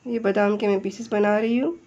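A woman with an Indian accent explains cooking steps in a mid-pitched voice. She speaks as a home cook guiding viewers through each stage. The audio is slightly dull and lo-fi. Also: fairly even intonation, a little fast, a little mellow. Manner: explanatory, step-by-step. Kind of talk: instructional recipe voice-over.

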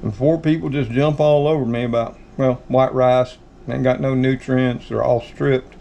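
Folksy Southern man with gravelly voice. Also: mid-range voice, flat delivery, normal speed, warm tone, highs cut off, a little hiss or hum. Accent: Southern American. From American South. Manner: folksy, addresses viewers as folks. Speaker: channel host. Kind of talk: casual how-to talk. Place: home kitchen.

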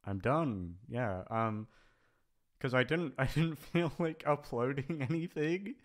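A man's voice: medium pitch, takes it slowly. A man talking casually without a script, rambling and hesitant in a self-deprecating way, thinking aloud. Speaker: audio channel creator, voice actor. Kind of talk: unscripted casual farewell vlog.

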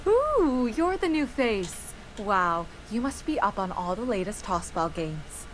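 A woman's voice: medium pitch, very animated, measured pace, slight background noise, low-quality recording. A woman voicing a chatty, eager young sports fan from a frontier town in a video game, talkative and gushing. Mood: excited. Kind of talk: video game character acting.

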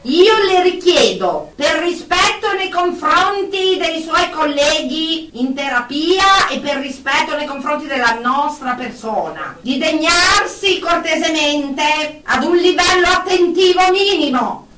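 Lady doing bossy stern therapist, radio drama, high voice, pompous, echoey and a bit far from the mic. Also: expressive, measured pace, hardly any pauses, sharp tone, heavily acted. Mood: stern, formal. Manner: formal, pompous, wordy. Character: stern, condescending female therapist running group session. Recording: echoey room, distant mic, faint noise.

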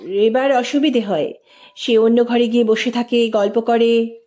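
A woman's voice: fairly high pitch, fairly even intonation, unhurried, mellow, lo-fi sound, soft background music, lightly acted. A woman voicing a guarded, formal young female hostel warden in a story reading. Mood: stiff, formal. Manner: formal, reserved.

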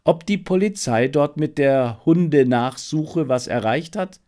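A man's voice: fairly high pitch, lively intonation, measured pace, mellow. A male audiobook reader voicing a businesslike menagerie owner who reports in a matter-of-fact manner. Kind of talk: audiobook character dialogue.

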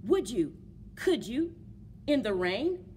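A woman's voice: on the high side, big pitch swings, takes it slowly, dark tone, a little hiss or hum. A woman voicing a pushy, eager storybook creature who coaxes with insistent, rhyming questions. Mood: eager insisting. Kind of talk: rhyming picture-book read-aloud. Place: public library children's book corner.

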